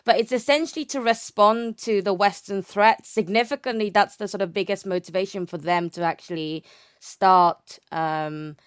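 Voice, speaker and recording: A woman's voice: mid-range voice, moderate pace, clear, resonant tone, no echo, clean studio sound. A young woman giving conversational academic explanations as an interview guest, in an informal, loosely structured way. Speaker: interview guest, naval historian.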